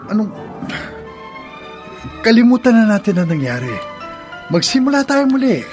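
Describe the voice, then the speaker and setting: An actor playing a pleading, self-centred young lover in a Filipino radio drama, insistent and melodramatic. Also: male voice, extremely high pitch, big pitch swings, normal speed, a little mellow. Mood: desperate. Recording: music behind the voice.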